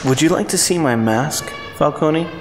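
An actor playing a creepy, smug villainous doctor in an audio drama, controlled and taunting. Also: male voice, fairly high pitch, lively intonation, normal speed, lightly acted. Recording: long reverb, loud music behind.

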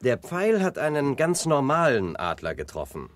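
A man's voice: medium pitch, huge pitch swings, moderate pace. A man playing a brave, eager young adventure hero in a 1980s sci-fi radio play for children. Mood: earnest. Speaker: voice actor.

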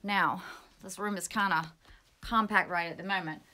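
A woman's voice: fairly low pitch, steady tone, normal speed, sharp tone. A woman in her fifties giving a casual, rambling walkthrough of her shelf build, recorded on a handheld phone in a small pantry room. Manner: rambling, self-correcting, plainspoken. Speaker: homesteading vlogger. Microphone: phone held at arm's length.

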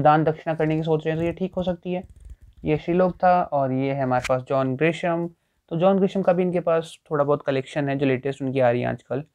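A man's voice: mid-range voice, a little mellow, no echo. A young man casually walking through a bookstore, chatting and thinking aloud as he browses the shelves. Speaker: booktuber. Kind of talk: casual walkthrough vlog. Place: small indoor bookstore with packed shelves.

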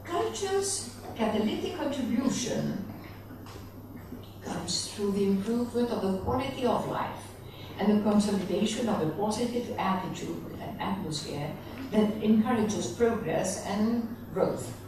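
A woman's voice: medium pitch, expressive. Woman reading in an echoey hall. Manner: measured, formal reading with occasional slips and self-corrections. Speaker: conference speaker reading a presentation. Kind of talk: reading a prepared conference paper. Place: conference hall, at a lectern. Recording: echoey conference hall, distant mic, faint background noise.

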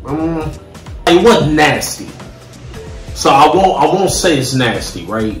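Animated American man, slangy and emphatic. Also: on the high side, slow pace. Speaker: co-host food reviewer. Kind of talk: casual chat, food review. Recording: roomy home recording with faint music.

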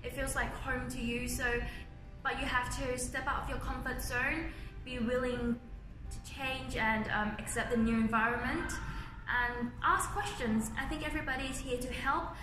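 A woman's voice: high voice, fairly even intonation, moderate pace, over music. A young woman in her twenties from Thailand, speaking English with a Thai accent, earnestly answering interview questions as a medical student. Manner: earnest, plain-spoken, slightly non-native grammar. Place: indoors by large windows, university building. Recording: roomy echo with clear ambient noise.

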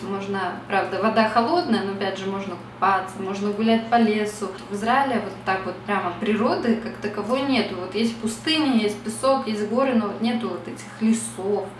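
A woman's voice: mid-range voice, echoey room, distant mic, slight background noise, low-quality recording. A young woman from Russia talking casually to camera at home, reflective and chatty, with many fillers. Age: young adult. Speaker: beauty vlogger. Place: small bright room at home.

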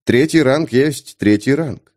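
A man narrating a fantasy audiobook in an expressive, scripted storytelling style. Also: mid-range voice, takes it slowly.

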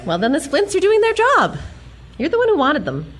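A woman's voice: extremely high pitch, wildly swooping pitch, moderate pace, heavily acted. A woman playing a plucky, earnest young American heroine in a pulp adventure audio drama, spirited and wry. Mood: wry, determined.

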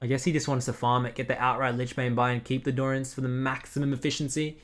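A man's voice: medium pitch, flat delivery. A young man commentating a game replay in casual, blunt style, recorded at home on a headset. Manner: blunt, critical, quick asides. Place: small room at home.

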